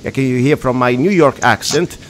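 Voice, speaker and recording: A man's voice: mid-range voice, moderate pace, noisy background. A man hosting a talk radio show, asking frank, conversational questions. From Italy. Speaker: radio host.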